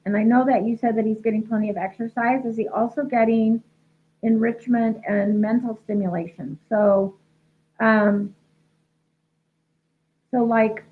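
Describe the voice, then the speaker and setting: A woman's voice: a little high, steady tone, a little slow, pauses often. A young woman, a dog trainer, answering viewer questions in a casual live chat, congested and losing her voice from a head cold. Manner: chatty, many ums and restarts, reads questions aloud. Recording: tinny phone-like livestream audio.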